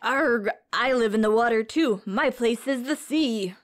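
A woman's voice: fairly high pitch, measured pace, lightly acted. A woman voicing tiny talking bacteria in a children's storybook, playful, fussy and exaggerated. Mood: playful, picky. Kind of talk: children's storybook character reading.

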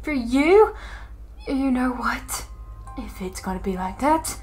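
A woman's voice: fairly high pitch, expressive, takes it slowly, a little mellow, faint music behind. A woman voicing a betrayed young woman, hurt, angry and accusing. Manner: wounded, accusing.

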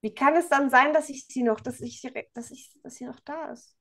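Woman playing a high-voiced, distraught teenage schoolgirl. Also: steady tone, fairly bright tone. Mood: bewildered, challenging. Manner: emotional, halting, protesting. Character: distraught, defiant, foul-mouthed teenage schoolgirl.

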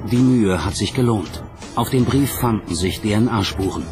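A man's voice: fairly low pitch, measured pace, music in the background. A man narrating a documentary from a script in a dramatic storytelling style.

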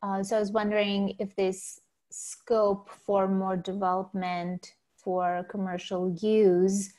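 A woman's voice: medium pitch, level tone, slow pace, dark tone. Inquisitive woman councilor on a video call. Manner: inquisitive, thinking aloud with fillers. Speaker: town councilor. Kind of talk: asking questions in a public meeting.

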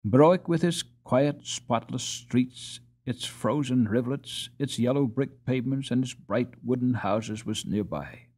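A man narrating a storybook as an audiobook reader, in an expressive scripted style. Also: medium pitch, a little slow, few pauses.

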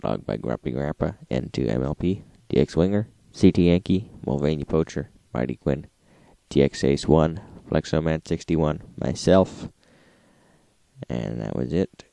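A man with a gravelly voice hosting an informal recap, reading out logs in a flat, list-like manner. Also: rumbling low, unhurried, no echo. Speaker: host reading radio logs. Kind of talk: informal log recap narration.

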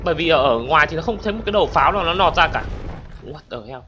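Excitable high-voiced man narrating gameplay. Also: racing, highs cut off. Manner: excitable, exclaims often, addresses viewers constantly. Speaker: gaming channel host. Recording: game battle noise behind voice. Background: explosions and gunfire from game.

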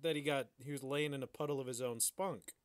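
A man's voice: medium pitch, steady tone, fairly quick, clean tone. A man co-hosting a podcast with casual comic commentary, crude and mock-dramatic in his joking. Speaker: podcast co-host.

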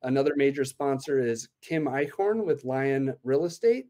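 A man's voice: medium pitch, expressive, normal speed, mellow. A man in his thirties, an event organizer, explaining plans in an upbeat way with many "uh" fillers, talking from a room at home over a laptop webcam. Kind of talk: video call interview. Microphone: laptop webcam on video call.

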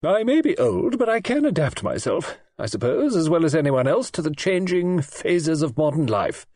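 A man's voice: high-pitched, wildly swooping pitch, unhurried, darkish tone, husky, dry recording, studio quality, voice pushed up, heavily acted. A man reading an audiobook, voicing a robust, imperious elderly aristocratic woman who talks in a forthright, snappish way. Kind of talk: audiobook character voice.

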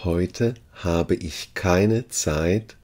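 A man working as a language teacher, reading short phrases deliberately and repeating each one for learners. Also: low voice, a little mellow.

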